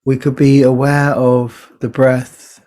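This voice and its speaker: Male meditation teacher, low-key body scan guide; very slow pace, gentle delivery, leaves space between phrases. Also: little pitch movement. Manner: gentle, unhurried, pausing between short phrases.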